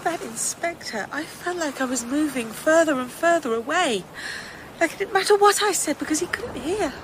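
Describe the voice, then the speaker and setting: A woman's voice: sits high, expressive, moderate pace, a bit bright, slight background noise, poor audio. An actress playing a fragile, anxious, guilt-ridden woman in a radio drama, hesitant and troubled. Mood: distressed.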